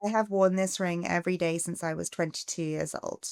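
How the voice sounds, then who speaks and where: A middle-aged woman from England, a charity founder giving a podcast interview, earnest and growing animated as she asks for help. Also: lowish voice, a little fast, gravelly, clean tone, no room sound, clean studio sound. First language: English.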